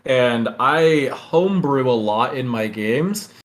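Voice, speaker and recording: A man's voice: medium pitch, animated, slow pace. A man with a mid-range voice chats as a podcast host. His delivery is casual and enthusiastic, like someone talking shop among fellow hobbyists.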